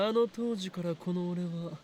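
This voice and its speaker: An actor playing a grandiose, theatrical young self-styled mad scientist in a Japanese visual novel, with a pompous, dramatic delivery. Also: male voice, high-pitched, fairly quick, a little mellow, natural voice. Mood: grandiose boasting.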